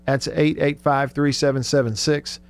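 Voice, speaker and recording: A man's voice: mid-range voice, flat delivery, normal speed, clean tone. Man, sports radio host, casual chat, conversational, thinking aloud.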